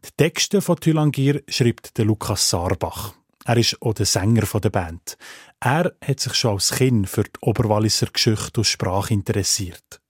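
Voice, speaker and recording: Male radio reporter, Swiss German accent, rough-edged lowish voice, scripted delivery, dry sound. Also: lively intonation, moderate pace, dry recording.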